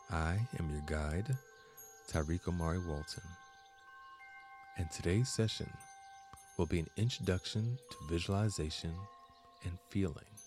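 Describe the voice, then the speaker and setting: A man narrating a scripted guided meditation as host, in measured, deliberate phrases with frequent pauses. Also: low-pitched.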